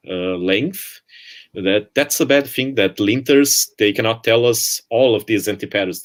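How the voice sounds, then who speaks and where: Low-voiced man giving a talk. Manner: relaxed, hesitant, many "uh"s. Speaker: conference speaker. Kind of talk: presentation and Q&A answers.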